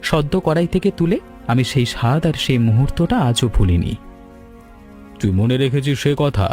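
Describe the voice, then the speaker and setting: An earnest, sentimental village shopkeeping family man from a cartoon , acted by a man. He speaks emotively at a medium pitch, with music playing behind him. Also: expressive, moderate pace, darkish tone, lightly acted. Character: sentimental father and grown son of a village sweet-shop family. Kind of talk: Bengali cartoon dubbing. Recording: clear music bed behind the voice.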